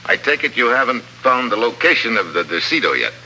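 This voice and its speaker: An actor playing a tough, cool American undercover agent in 1950s radio drama style, clipped and wry. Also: male voice, medium pitch, very animated, fairly quick, pushed and bright, heavily acted, low-quality recording. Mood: cool, controlled. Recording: old radio recording, faint noise.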